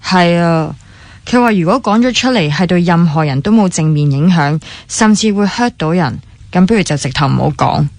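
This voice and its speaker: An actress playing a blunt, sceptical young confidante in conversational Hong Kong Cantonese radio drama dialogue. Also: female voice, on the low side, animated, normal speed, darkish tone, no echo. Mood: questioning.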